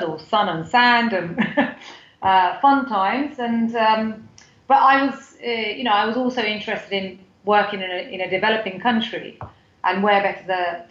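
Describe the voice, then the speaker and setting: Guest: British woman, mid pitch, chatty and halting, roomy muffled remote audio. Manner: chatty, with frequent fillers and restarts. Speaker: guest, foundation CEO. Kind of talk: podcast interview.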